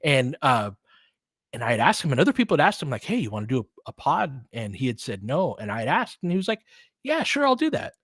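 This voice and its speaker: A podcast host chatting casually with viewers, telling a rambling story full of fillers and restarts. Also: male voice, medium pitch, big pitch swings, fast, fairly bright tone, husky, dry recording, clean studio sound. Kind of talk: casual livestream chat answering viewers.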